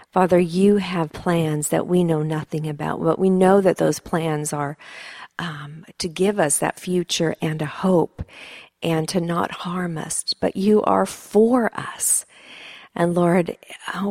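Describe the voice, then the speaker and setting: A woman's voice: fairly low pitch, gravelly, darkish tone, no room sound, clean studio sound. A middle-aged woman from Sweden, a pastor's wife giving a heartfelt, encouraging talk and prayer at a women's conference. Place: church conference hall.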